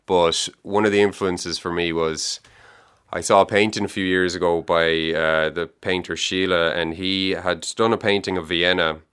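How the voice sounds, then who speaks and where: A man's voice: sits low, flat delivery, normal speed, a bit bright, smooth. An Irish man, a young adult author, answering interview questions thoughtfully, with many restarts and yeahs. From Ireland. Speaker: author guest.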